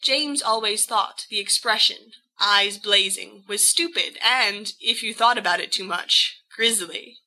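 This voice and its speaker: Woman reading an audiobook, really bright crisp edge, higher pitched, expressive storytelling. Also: measured pace, few pauses, low-quality recording. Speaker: audiobook narrator. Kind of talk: expressive scripted story narration.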